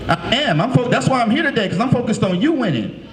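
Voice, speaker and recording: A man's voice: very high-pitched, big pitch swings, fast. A man in his late thirties from the San Francisco Bay Area, giving a preacherly motivational talk to middle schoolers and asking the crowd questions. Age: late 30s. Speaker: motivational speaker. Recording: echoey room.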